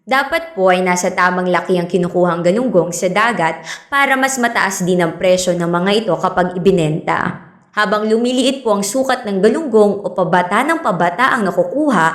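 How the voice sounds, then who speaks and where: A woman playing a polite, patient fisheries speaker in a radio drama, explaining things in a measured, courteous way. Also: mid-range voice, roomy sound, natural voice.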